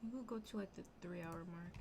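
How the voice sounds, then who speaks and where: A young woman streaming, chatting casually in a scratchy, slightly hoarse voice with lots of fillers. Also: on the low side, fairly quick. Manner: rambling, many fillers. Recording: music playing underneath.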